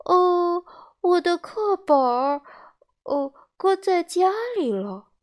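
A woman's voice: very high-pitched, very animated, takes it slowly, pitched above the performer's own voice, exaggerated voice. A woman voicing a naive, earnest little wooden puppet boy in a children's storybook, stammering and pleading. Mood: nervous, evasive.

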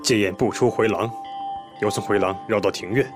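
An actor playing a composed male police detective in an audio drama, explaining things in a measured, methodical, polite way. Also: on the low side, music in the background, natural voice. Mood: explanatory. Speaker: police investigator questioning a witness.

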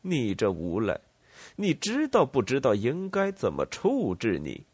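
A man's voice: medium pitch, huge pitch swings, unhurried, a little mellow, breathy edge, no echo, exaggerated voice. A man voicing a bluff, commanding rebel leader who poses as emperor in a story, with a swaggering, emphatic delivery. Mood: menacing.

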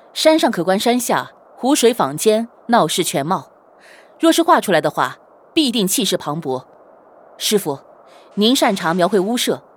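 A woman playing a courteous, resolute young gentleman painter in a Chinese period audio drama. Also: medium pitch. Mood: respectful, eager. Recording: music behind the voice.

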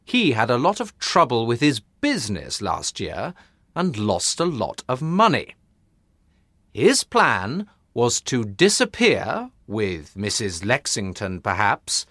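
A man voicing a calm, composed male detective who explains things quietly in an audiobook. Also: mid-range voice, no echo, pitched above the performer's own voice, exaggerated voice. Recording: dry close studio sound.

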